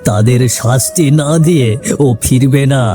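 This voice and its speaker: A man's voice: mid-range voice, moderate pace, dark tone, faint music behind, lightly acted. A man playing an earnest, guilt-laden older village man explaining things in a cartoon story. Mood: grave, explaining.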